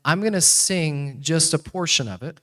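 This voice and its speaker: A native English-speaking man speaking as a guest church teacher, in short halting phrases with pauses left for an interpreter. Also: a little high, sharp tone.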